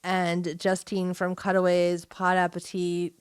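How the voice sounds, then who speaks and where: A woman's voice: fairly low pitch, completely flat delivery, unhurried, clear, resonant tone, no echo, studio quality. A middle-aged American woman co-hosting a podcast, chatting in an informal, rambling way. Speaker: podcast co-host. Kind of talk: casual chat.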